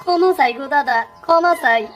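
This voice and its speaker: A woman's voice: sits high, a little fast, lightly acted. A woman voicing a poor young cartoon fisherman, earnest and pleading, in eastern Bengali rural dialect. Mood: remorseful pleading. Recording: loud music behind the voice.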